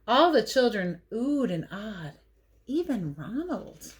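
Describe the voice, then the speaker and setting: A woman's voice: a little high, sing-song, takes it slowly. A woman teacher reading a picture book aloud to children, telling it expressively and adding playful, chatty asides about the pictures. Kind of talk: animated read-aloud storytelling with casual asides.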